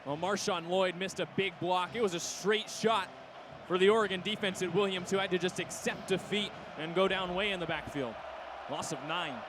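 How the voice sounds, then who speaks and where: A man's voice: high voice, fairly even intonation, moderate pace. A man giving conversational, explanatory football analysis between plays as a colour commentator. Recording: noisy stadium background.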